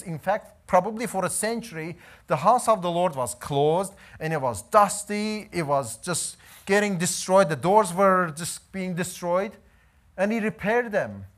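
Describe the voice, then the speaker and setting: Male missionary, 30s, lively storytelling testimony, fairly high voice. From Iran. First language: Azeri. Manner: animated storytelling, gesturing while pacing. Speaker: missionary preacher. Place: church hall, indoors.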